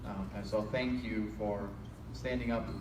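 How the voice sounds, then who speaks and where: A man giving informal remarks at an awards event, halting and full of fillers. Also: on the low side, fairly even intonation, recorded from a distance. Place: large indoor event room. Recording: echoey event hall, mic from afar, noisy.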